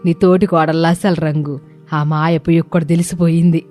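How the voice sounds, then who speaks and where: A woman acts out an older village mother-in-law in a storybook drama. She is proud and emphatic, with a lowish, mellow voice and light music behind. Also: little pitch movement, normal speed, close to an everyday voice. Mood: goading. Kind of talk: acted moral story dialogue.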